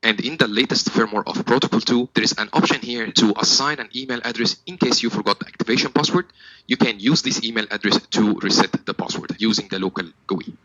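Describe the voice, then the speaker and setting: A man's voice: fairly low pitch, quick pace, bright, forceful tone, no room sound, highs cut off. A man working as a technical trainer, giving a step-by-step product tutorial in a methodical, instructional manner.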